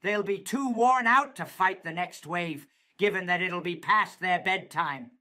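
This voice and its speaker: An actor playing a gleeful, theatrical villainous mad scientist with childish whims in a cartoon comic dub. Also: male voice, sits high, measured pace, pushed and bright. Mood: gloating glee. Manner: theatrical, gloating.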